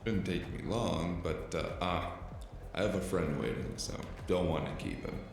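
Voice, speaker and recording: A man's voice: deep voice, expressive, normal speed. A man playing a dry, weary, hesitant investigator in a cyberpunk noir audio drama. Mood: awkward, flirting. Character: male freelance investigator, dry, weary, awkwardly flirtatious noir loner. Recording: music behind the voice.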